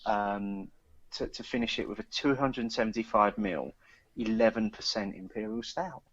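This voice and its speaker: Bloke chatting on a podcast, lots of ums, muffled lo-fi sound, dead dry room. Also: male voice, fairly low pitch, normal speed, a bit bright, no echo. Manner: chatty, many ums and restarts. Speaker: podcast co-host. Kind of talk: casual chat and news reading.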